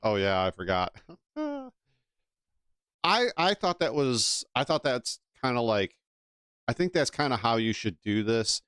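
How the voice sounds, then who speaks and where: A man's voice: medium pitch, animated, unhurried, long pauses, a bit bright, smooth, no echo, studio quality. A man giving casual, rambling live commentary as a streamer reacting to a video.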